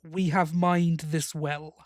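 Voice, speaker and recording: Male podcast co-host, slightly high-pitched, conversational fan talk, dry sound. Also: flat delivery, slow pace, no room sound. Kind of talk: casual fan discussion.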